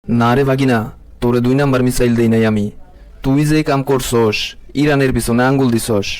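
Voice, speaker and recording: A man's voice: mid-range voice, steady tone, brisk, a little mellow, lightly acted. A man voicing a bossy, scolding cartoon uncle with a Bangladeshi accent, in colloquial comic satire. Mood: scolding, exasperated. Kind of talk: comic animated satire dubbing.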